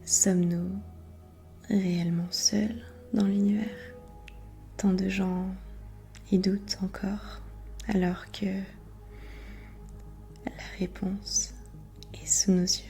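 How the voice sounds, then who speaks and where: A woman whispering softly and soothingly as a calm, thoughtful mission control scientist in a sci-fi ASMR roleplay. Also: mid-range voice, faint music behind. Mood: pensive, mysterious. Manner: slow, soft, soothing, measured whispering.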